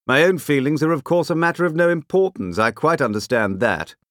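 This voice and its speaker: A dignified man in a huff, from a storybook, acted by a male reader. He is pompous and sorry for himself, with wounded airs. His pitch rises and falls widely in a dry recording. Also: animated, brisk, a bit bright, no echo. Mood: wounded dignity. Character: dignified, huffy, self-pitying man. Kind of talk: audiobook character voice.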